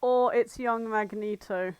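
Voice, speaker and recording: A woman's voice: on the high side, steady tone, measured pace, darkish tone, clean tone, lo-fi sound. A woman co-hosting a fan podcast in casual chat, informal, opinionated and happy to swear. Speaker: podcast co-host.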